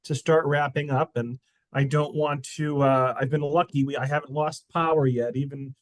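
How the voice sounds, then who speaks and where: A man's voice: medium pitch, fairly even intonation, moderate pace, dry recording. A man hosting an online event, casually making his closing remarks on a video call. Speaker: event host.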